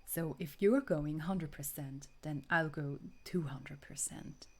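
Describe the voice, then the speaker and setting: A woman plays a tender, wistful girlfriend in a romantic audio roleplay. Her voice sits a little low and moves very slowly. She speaks softly and breathily to her beloved, often sinking close to a whisper. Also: full character voice. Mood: tender, resigned. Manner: soft, breathy, often dropping toward a whisper. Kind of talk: intimate romantic audio roleplay, ASMR acting.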